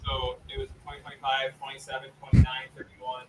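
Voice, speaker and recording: A man's voice: medium pitch, monotone, fast talker. A man talking sports casually, heard from away from the microphone. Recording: distant voice in loud noise.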